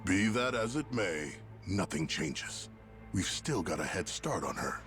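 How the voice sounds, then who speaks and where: Video game villain, male: polished, menacing businessman-gangster, formal and superior, deep register, swinging delivery. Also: very animated, measured pace. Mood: cold, insistent. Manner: smooth formal menace, condescending. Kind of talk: dramatic video game voice acting. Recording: game music behind.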